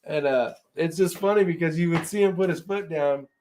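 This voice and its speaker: A man's voice: a little high, brisk, darkish tone, slight background noise. A man hosting a casual live-stream chat, talking in an informal, loose and rambling way. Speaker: host of a shooting live chat show.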